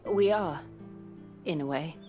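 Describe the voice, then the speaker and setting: A woman playing a courteous, measured young traveler in a fantasy video game. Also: low voice, over music. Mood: calm, polite. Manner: polite, measured, composed. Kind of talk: video game cutscene acting.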